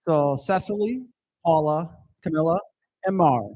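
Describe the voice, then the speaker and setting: A man's voice: a little high, a little slow, warm tone. A man presenting at a school awards night, addressing parents and students directly into a podium microphone. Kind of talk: announcing at a school ceremony.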